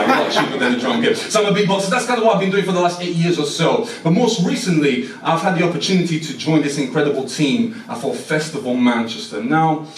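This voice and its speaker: A young man with a Portuguese accent, giving a chatty, self-deprecating talk to a church congregation, with frequent restarts. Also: medium pitch. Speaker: mission team speaker. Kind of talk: informal talk at a church service. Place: church hall. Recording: echoey room, mic far off.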